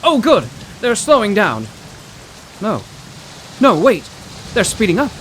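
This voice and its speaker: An actor playing an excitable, bookish professor in a 1930s radio drama, theatrical and wordy with old-fashioned exclamations. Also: male voice, high voice, slow, full character voice, poor audio. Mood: alarmed, indignant. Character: excitable, bookish 1930s male poetry professor, stranded motorist. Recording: rain and road noise.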